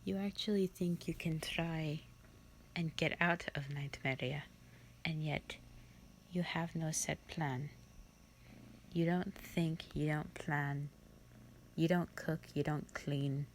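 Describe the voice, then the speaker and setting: A woman playing a bored, haughty, ancient female vampire in a roleplay audio, with a breathy, half-whispered, condescending drawl. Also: sits low, fairly even intonation, slow pace, pauses often, a little hiss or hum, poor audio. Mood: mocking contempt. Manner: condescending, languid, theatrical drawl.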